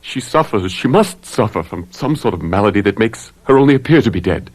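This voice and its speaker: An actor in a radio drama playing a desperate, obsessive young man in love, pleading and agitated, with a husky voice. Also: fairly low pitch, animated, a little fast, rough recording. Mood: desperate insistence.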